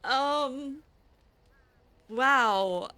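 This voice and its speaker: A woman's voice: sits high, extremely slow, sharp tone. A woman improvising in character over a video game, conversational and hesitant, often trailing off. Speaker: roleplay game streamer.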